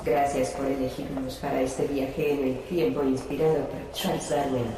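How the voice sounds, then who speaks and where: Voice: mid-range voice, normal speed, natural voice. A voice playing a ship's computer, making formal, impersonal scripted announcements in an audio drama. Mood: calm, impersonal. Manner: flat, impersonal announcement delivery. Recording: very echoey, distant, noisy.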